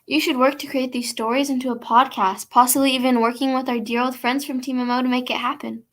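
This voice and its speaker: A woman's voice: high voice, flat delivery, fast, fairly bright tone. A woman hosting an old-time style radio show, reading scripted announcements in a polite, formal, presentational way.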